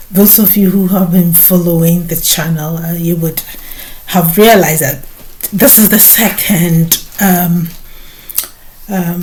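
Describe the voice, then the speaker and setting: A middle-aged woman from Ghana, a nurse hosting her own vlog, talking slowly with many hesitant pauses. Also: fairly low pitch, a little mellow, rough recording. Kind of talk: personal talk to camera.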